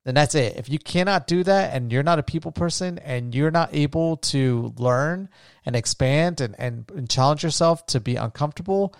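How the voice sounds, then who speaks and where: A young American man talks casually on his own podcast, musing and restarting his sentences as he goes. His voice comes through smooth and clear, recorded very dry and close with no room sound. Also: on the high side, moderate pace, no echo. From United States. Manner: rambling, thinking aloud with many restarts. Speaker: podcast host. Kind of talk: casual solo podcast monologue.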